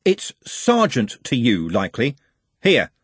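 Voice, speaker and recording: A man's voice: medium pitch, huge pitch swings, unhurried, slightly rough, dry recording, full character voice. A man voicing a bossy, short-tempered police sergeant who barks orders. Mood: stern. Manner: barking orders.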